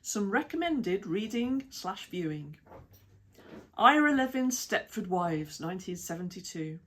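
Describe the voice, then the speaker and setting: Breathy British woman reading an essay. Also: mid-range voice. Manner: measured, even reading with lists of titles and dates. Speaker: reader of an article. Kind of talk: read-aloud essay narration.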